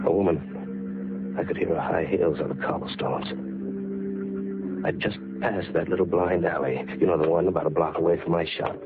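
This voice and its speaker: Very deep-voiced, haunted radio thriller narrator. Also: male voice, a little fast, long pauses, very noisy. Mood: tense, grim. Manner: brooding, dramatic, haunted. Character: tormented man narrating his descent into murder. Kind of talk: old-time radio thriller acting, first-person narration. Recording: muffled 1940s radio recording, loud music behind. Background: loud dramatic music.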